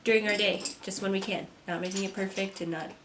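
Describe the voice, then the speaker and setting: A young woman, a mother and artist, talking to camera from a script in a soft, reflective vlog; she sounds stuffy and congested. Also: mid-range voice, fairly even intonation, quick pace, slight background noise. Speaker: vlogger, artist. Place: home. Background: kids playing.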